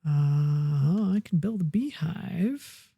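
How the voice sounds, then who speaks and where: Low, slow-talking woman gamer. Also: creaky, husky, no room sound, clean studio sound. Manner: relaxed, muttering to herself while building. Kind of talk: casual let's-play commentary.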